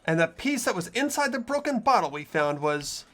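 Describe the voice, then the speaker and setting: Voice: medium pitch, very animated, normal speed, a bit bright, faint music behind. A performer voicing an earnest teenage boy investigator who argues through the evidence in a fan dub of a game. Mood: reasoning.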